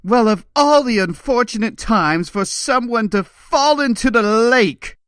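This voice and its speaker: A man's voice: very high voice, animated, a little slow, a bit bright, clean tone, dry recording, clean studio sound, pitched above the performer's own voice, full character voice. A man voicing a lovestruck, dreamy young comic-book heroine in a melodramatic performance. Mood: exasperated.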